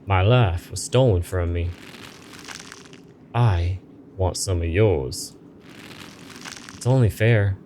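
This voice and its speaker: A man's voice: low voice, slow pace, long pauses, warm tone, slight background noise. A man voicing a mournful, vengeful spirit in a game, solemn and demanding. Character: mournful vengeful spirit, long trapped in the dark.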